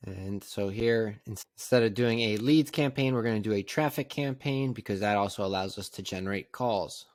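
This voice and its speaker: A man narrating a casual step-by-step software tutorial in a plain, conversational, instructional way. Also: on the low side, normal speed, no echo. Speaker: tutorial presenter.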